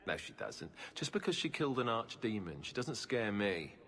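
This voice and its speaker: A good-humoured king with a lowish voice, ringing in a big hall. He talks casually and drily, joking through his weariness in a fantasy game. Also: sing-song, moderate pace, a bit bright. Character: wry, good-humoured king, weary but hopeful. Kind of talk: video game voice acting.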